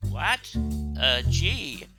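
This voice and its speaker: An actor playing a brash, impatient gambler and former soldier in a satirical 1940s audio play. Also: male voice, mid-range voice, wildly swooping pitch, drawn-out words, creaky, over music, heavily acted.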